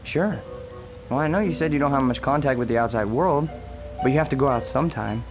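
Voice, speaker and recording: A man's voice: mid-range voice, a little fast, music in the background, close to an everyday voice, low-quality recording. An actor playing a policeman in a radio drama, hesitant, conversational and placating. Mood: questioning. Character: reassuring, injured policeman humouring an old woman. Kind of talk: radio drama acting, naturalistic.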